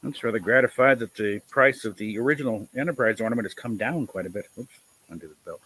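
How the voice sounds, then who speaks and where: A man's voice: fairly low pitch, quick pace, fairly bright tone, husky, rough recording. A man hosting a casual livestream chat in a laid-back way, often agreeing and murmuring along. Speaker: stream host.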